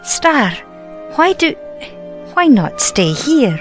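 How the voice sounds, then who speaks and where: A woman playing a gentle, anxious older motherly witch in an amateur audio drama. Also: high voice, sing-song, extremely slow, faint music behind, heavily acted. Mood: gentle, pleading.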